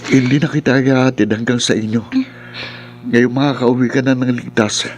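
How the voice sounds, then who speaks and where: A man's voice: medium pitch, fairly even intonation, moderate pace, natural voice. Actor as an urgent young male rescuer, noisy scene. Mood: earnest resolve. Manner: earnest, urgent melodrama. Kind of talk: horror radio drama acting. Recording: noisy ambience over the voice.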